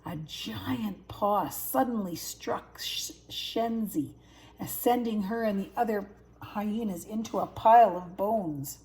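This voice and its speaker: A woman's voice: mid-range voice, slow, dark tone. An older woman, a grandmother, narrating a children's story. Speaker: grandmother reading stories.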